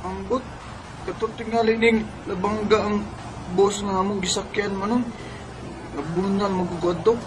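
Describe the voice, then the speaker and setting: A woman playing a sickly, uncomplaining young brother in a radio drama, feeble and halting. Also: mid-range voice, fairly even intonation, noisy background, close to an everyday voice. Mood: weak, pained.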